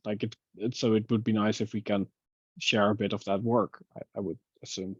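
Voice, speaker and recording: Man, low voice, filler-heavy, thinking aloud, muffled dry call audio. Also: flat delivery, fairly quick, a little mellow, breathy edge, dry recording. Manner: thinking aloud, filler-heavy, laughs at his own slips. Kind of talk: casual technical meeting discussion. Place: online video call.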